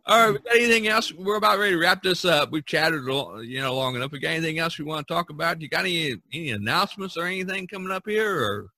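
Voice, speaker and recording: Bright-voiced man hosting a casual podcast. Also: on the high side, animated, fast, few pauses, dry recording. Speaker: podcast host. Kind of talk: casual chat among artists.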